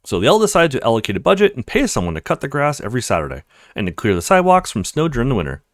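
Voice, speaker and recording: A man's voice: medium pitch, huge pitch swings, racing, no echo, clean studio sound. A man voicing an eager, chatty young student in a read-aloud of a video game. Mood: excited. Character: eager upbeat young student with a new job.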